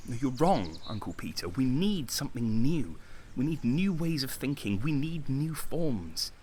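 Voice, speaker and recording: An impassioned, insecure young artist in an audio drama. His voice sits at a middling pitch and his delivery is intense, agitated and bitter, broken by dramatic pauses. There is faint noise on the recording. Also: very animated, mellow. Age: young adult. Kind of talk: naturalistic audio drama acting.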